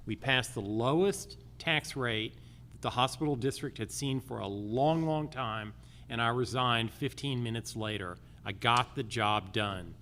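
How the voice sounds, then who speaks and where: A man with an American accent giving a blunt, deliberate closing statement as a judicial candidate at a forum. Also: mid-range voice, fairly bright tone. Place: live in a theater. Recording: noisy live event pickup.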